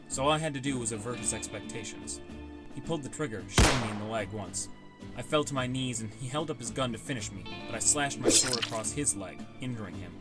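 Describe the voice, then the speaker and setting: A man reading a scripted first-person fantasy story as an audiobook narrator, with music behind him. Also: medium pitch.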